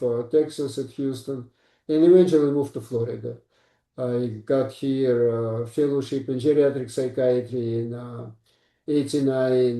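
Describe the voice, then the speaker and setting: A man with a Russian accent, a native Russian speaker, talking haltingly in a casual interview as a psychiatrist guest. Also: mid-range voice, mellow, reverberant, recorded from a distance. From born in Ukraine, raised in Russia. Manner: halting, frequent "uh" and "you know", broken English grammar.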